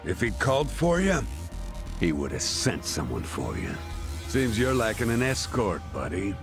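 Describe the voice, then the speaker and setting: A gravelly, low-voiced gangster guard in a game drama. He is suspicious and sneering, his pitch swinging hugely as he bears down. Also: sing-song, measured pace, music in the background, full character voice. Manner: sneering, confrontational. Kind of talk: English video game dub acting.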